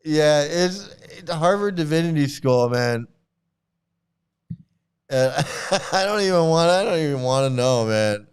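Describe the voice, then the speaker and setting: Reaction host, male, somewhat high and smooth voice, laughs a lot and circles back on his own words. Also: animated, measured pace. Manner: laughs often, repeats phrases, stammering restarts. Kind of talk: casual reaction commentary.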